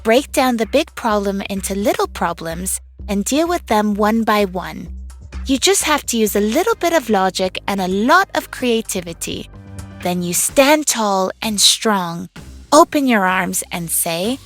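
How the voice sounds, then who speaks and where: Peppy kid inventor girl for a cartoon storybook, really bouncy pitch, encouraging, music behind. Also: unhurried. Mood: upbeat, encouraging. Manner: enthusiastic, encouraging, expressive storytelling. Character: upbeat, confident young girl inventor, cartoon heroine narrating. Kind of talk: scripted children's read-along narration. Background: music under the voice.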